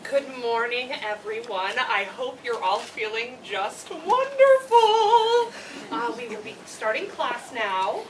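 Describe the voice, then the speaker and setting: Heard from across a room through clear background noise, a woman acts out a quirky female teacher in a stage reading. Her voice is fairly high and her delivery is effusive and overenthusiastic. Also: expressive, slow pace. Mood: gushing. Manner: effusive, gushing. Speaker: actor in a staged play reading. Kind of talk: live theatre play reading. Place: read before a live audience, far camera. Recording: noisy, distant room recording.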